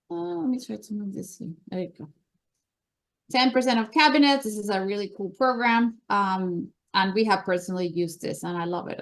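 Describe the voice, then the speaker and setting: Colombian lady hosting a members meeting, upbeat and chatty, mid pitch. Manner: enthusiastic, chatty presenter. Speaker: meeting host.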